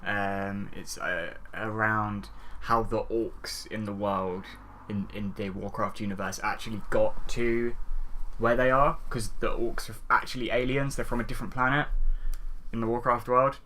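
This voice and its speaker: Guy podcast host, lowish bright punchy voice, explaining stuff casually. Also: steady tone, unhurried, slight background noise, poor audio. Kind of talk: casual film discussion.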